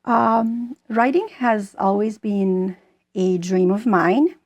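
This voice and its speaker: A woman in her forties from Bosnia, speaking English, answering as an author in an interview with thoughtful pauses and fillers. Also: mid-range voice, darkish tone. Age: 40s. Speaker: author and guest.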